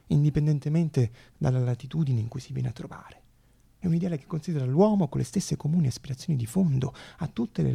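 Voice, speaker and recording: A man performing a theatrical monologue as a storyteller, with a raspy, gravelly voice and dramatic delivery full of deliberate pauses. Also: fairly high pitch, dark tone. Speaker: stage actor and storyteller.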